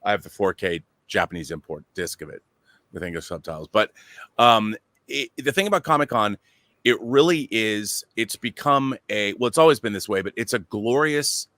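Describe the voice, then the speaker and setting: A man's voice: on the low side, moderate pace, fairly bright tone, no echo. A middle-aged man talking casually as a panel guest, telling detailed stories with enthusiasm. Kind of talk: casual anecdotal talk.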